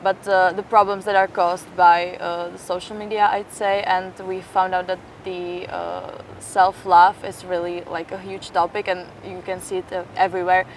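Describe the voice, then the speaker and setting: A young woman speaks casually and hesitantly in an interview. Her voice is fairly low and very even, picked up a little away from the mic. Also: moderate pace, few pauses. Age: young adult. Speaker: youth theatre project participant. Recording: distant mic, faint traffic-like noise.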